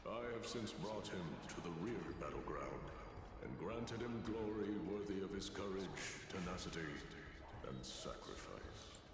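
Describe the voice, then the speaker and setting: A man's voice: deep voice, animated, measured pace. An actor playing an ancient, imperious titan king of strife in a video game, declaiming in a solemn, taunting, theatrical way. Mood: solemn, stern. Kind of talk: dramatic video game voice acting. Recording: cavernous echoing reverb under loud music.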